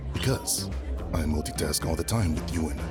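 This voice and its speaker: A man acts out a wisecracking bounty hunter in an audio drama. His voice is deep and his manner casual and teasing, over prominent music. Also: moderate pace, lightly acted. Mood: playful, alert.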